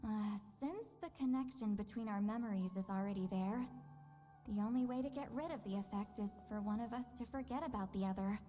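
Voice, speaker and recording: A woman's voice: medium pitch, fairly quick, dark tone, lightly acted. A woman voices a young game shaman with a proud, teasing streak. She sounds haughty and playful, slipping into flustered hesitation. Long reverb trails the voice, with faint music behind. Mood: smug, teasing. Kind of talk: video game voice acting.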